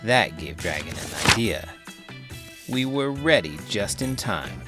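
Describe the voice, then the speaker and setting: A man hosting a children's read-aloud, speaking in an expressive, playful storytelling style. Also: on the low side, slow, over loud music. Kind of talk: lively children's storytelling and chat.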